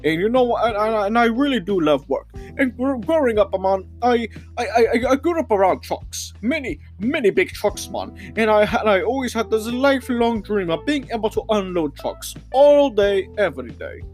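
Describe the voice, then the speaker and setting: A man's voice: high voice, lively intonation, moderate pace, hardly any pauses, darkish tone, soft background music. A man voicing a stammering male warehouse supervisor with a put-on Trinidadian accent, who repeats words and ends lines with "man". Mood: boastful. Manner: stammering, touchy and indignant. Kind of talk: improvised comedy podcast character.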